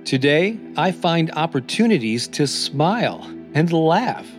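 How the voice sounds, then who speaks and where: Slow, higher-voiced man reading affirmations. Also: sing-song. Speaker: host reading self-help affirmations. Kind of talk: scripted motivational affirmations and podcast narration. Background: new-age music.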